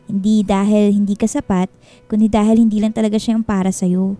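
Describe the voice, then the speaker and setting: An actress playing a frank but caring married best friend giving advice in a radio drama. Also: female voice, medium pitch, little pitch movement, fairly quick, dark tone, soft background music, natural voice. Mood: earnest advising. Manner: candid, consoling, advising. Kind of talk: Filipino radio drama acting.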